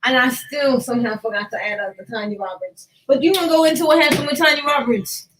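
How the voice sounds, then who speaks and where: A woman's voice: sits high, fast, fairly bright tone, low-quality recording. A woman hosting a casual gossip podcast, informal and sweary as she steers the conversation. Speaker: podcast host.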